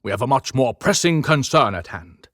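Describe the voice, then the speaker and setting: A man's voice: medium pitch, expressive, normal speed, fairly bright tone, no room sound, clean studio sound. A man voicing Santa Claus as a firm, frank, businesslike older man in a dramatized audiobook.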